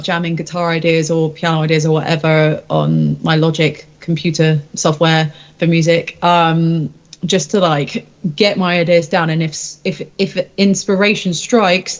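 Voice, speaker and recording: A woman's voice: fairly low pitch, lo-fi sound. A woman, a rock singer-songwriter, chatting casually in an interview in an informal, rambling, self-deprecating way.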